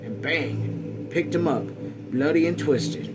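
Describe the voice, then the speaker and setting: A man reading a novel aloud as a read-along host, somewhat haltingly, stumbling over words and voicing folksy dialogue. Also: fairly high pitch, heavy background noise. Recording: music playing behind the voice. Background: music.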